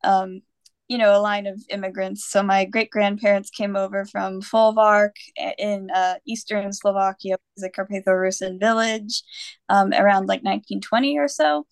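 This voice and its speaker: A woman's voice: mid-range voice, steady tone, normal speed, clear, resonant tone, no room sound. A woman from Pennsylvania, a podcast guest, speaking in a thoughtful, earnest way with many fillers. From Pennsylvania, USA. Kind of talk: casual podcast chat.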